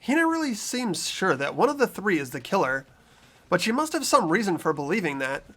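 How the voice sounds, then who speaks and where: A man's voice: sits high, very animated, a little fast, bright, forceful tone, soft background music. A man reading the narration and inner thoughts of a game's protagonist in a fan playthrough. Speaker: narrator and player.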